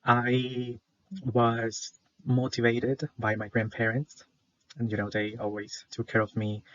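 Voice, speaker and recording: Shy young salvadoran guy presenting for class, flat voice, lots of uhs, dull dry recording. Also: medium pitch, slow, no room sound. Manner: hesitant, many fillers, shy. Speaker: student presenter.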